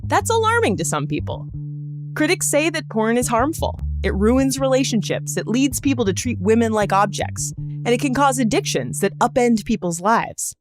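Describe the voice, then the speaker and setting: A woman's voice: fairly high pitch, sing-song, normal speed, over music. A woman hosting a podcast, reading scripted narration in a conversational storytelling style with wry, joking asides. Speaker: podcast host.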